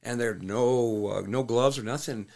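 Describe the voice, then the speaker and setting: A man's voice: mid-range voice, normal speed, a little mellow. A man who coaches fighters, telling a fight story bluntly in casual conversation, swearing freely. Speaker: MMA coach and fighter, podcast guest.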